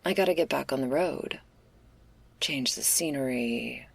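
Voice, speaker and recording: A woman playing a laid-back, restless stoner werewolf in an intimate ASMR roleplay, husky and gravelly, confiding in a casual, hesitant, slangy way. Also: rumbling low, unhurried, heavily acted. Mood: restless, reassuring.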